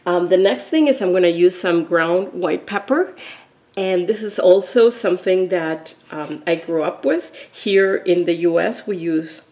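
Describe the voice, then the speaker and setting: A woman's voice: lowish voice, a little slow, dark tone. Chatty woman cooking in her kitchen. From Argentina. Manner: chatty, explains steps as she cooks. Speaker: cooking vlogger. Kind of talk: casual cooking demonstration. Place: home kitchen.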